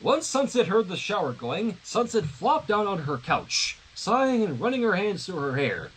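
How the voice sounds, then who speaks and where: A man narrates a fan-written story for a livestream. His voice is high for a man and husky, with intonation that moves around a great deal. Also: big pitch swings, moderate pace, fairly bright tone, rough recording. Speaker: fan fiction reader on a livestream. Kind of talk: animated story narration with casual asides.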